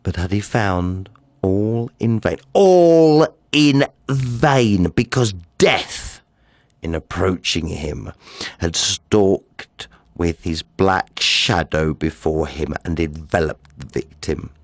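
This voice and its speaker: Slow, theatrical madman played by a man. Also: sits low, expressive, no room sound, studio quality, heavily acted. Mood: dark, sinister. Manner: theatrical, slow, swinging from hushed to agitated. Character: nervous, obsessive madman confessing a murder, insisting he is sane. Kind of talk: dramatic gothic horror monologue reading.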